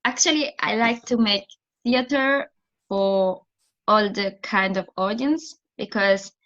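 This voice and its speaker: A woman's voice: fairly high pitch, fairly bright tone, highs cut off. A woman with an Italian accent and Italian as her first language. She answers interview questions thoughtfully as a theatre director, often restarting and searching for words. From Italy. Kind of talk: interview answers on a remote call.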